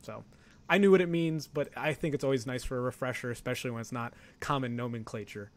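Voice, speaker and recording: A man in his twenties from Ohio with an American accent, chatting casually about politics in a rambling, halting, animated way. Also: mid-range voice. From Ohio, United States. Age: 20s. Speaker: talk show participant discussing politics.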